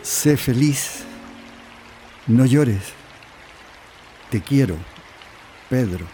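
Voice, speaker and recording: A man's voice: medium pitch, lively intonation, drawn-out words, mellow, soft background music, rough recording. A man playing a regretful, defensive former sweetheart in a radio drama, subdued. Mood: tender, regretful. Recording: faint noise, rain ambience. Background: rain falling.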